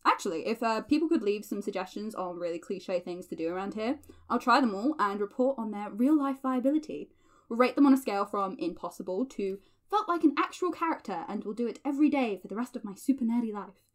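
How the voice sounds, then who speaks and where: A woman's voice: medium pitch, expressive, quick pace, natural voice. An actress playing a playful, nerdy young woman in a chatty audio drama diary, teasing and theatrical. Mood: chatty, wry.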